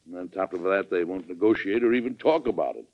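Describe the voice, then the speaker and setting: An actor playing a hearty, forceful American president, emphatic and statesmanlike, in an old-time radio drama. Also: male voice, sits low, fast talker. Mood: earnest, genial. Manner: emphatic, declamatory. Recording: dull vintage radio recording.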